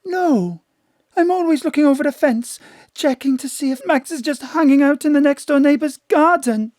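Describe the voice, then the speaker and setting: Seductive gangster's widow played by an actress, highish voice, flirtatious, melodramatic, comic noir radio play, dry recording. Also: female voice, normal speed, darkish tone, no echo, close to an everyday voice. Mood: earnest. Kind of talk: noir-parody audio drama acting.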